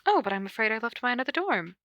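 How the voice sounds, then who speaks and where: A woman's voice: a little high, big pitch swings, fast, fairly bright tone, dry recording, studio quality. A woman reading the part of a sly young man who is formally polite and teasing, full of mock innocence. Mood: feigned innocence.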